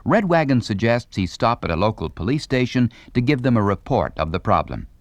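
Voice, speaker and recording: A man's voice: mid-range voice, sing-song, a little fast, dry recording. A man reading scripted instructional narration with a polished, formal announcer delivery.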